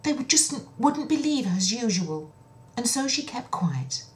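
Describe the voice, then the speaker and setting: A woman telling a children's story in an expressive, dramatic way, with breathy dips toward a whisper and a chatty, confiding touch. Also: on the high side, unhurried. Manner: chatty asides to the listener. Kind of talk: children's story narration, told in own words.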